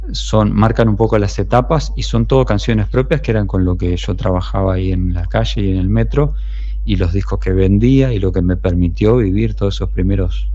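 Middle-aged uruguayan musician, low mellow voice, rambling and reflective, muffled interview recording. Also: male voice, slight background noise. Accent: Uruguayan Spanish. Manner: thoughtful, rambling with many restarts. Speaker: street musician and songwriter. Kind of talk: relaxed interview conversation. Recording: dull muffled sound.

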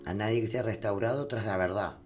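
A man's voice: lowish voice, brisk. A man hosting an opinionated talk show, emphatic and preachy, full of rhetorical questions. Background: guitar music.

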